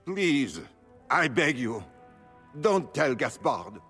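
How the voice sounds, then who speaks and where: A desperate, embarrassed conspirator in a fantasy game. His husky voice swings widely as he pleads. It rings with long hall reverb. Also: mid-range voice, sing-song, takes it slowly, a bit bright, soft background music, heavily acted. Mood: desperate, pleading. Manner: pleading, flustered. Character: panicked, pleading male palace conspirator, duped and embarrassed. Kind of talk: dramatic fantasy game dialogue.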